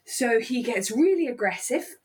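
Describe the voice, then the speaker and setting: A woman's voice: on the high side, animated, normal speed. A young woman co-hosting a podcast, casually recapping a drama in an emotive, exclaiming way. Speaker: podcast co-host.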